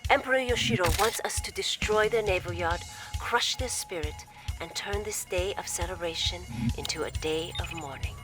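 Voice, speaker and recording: A woman's voice: fairly low pitch, normal speed, lightly acted. A woman playing a formal female logistics officer, giving mission briefings in a game. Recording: music playing behind.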